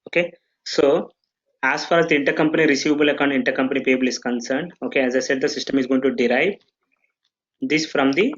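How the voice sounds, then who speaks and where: Brief: male trainer, mid pitch, talks through setup steps with lots of "okay"s, dull lo-fi audio. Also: quick pace. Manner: explanatory, full of "okay" checks and restarts. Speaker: software trainer. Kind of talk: live online training session.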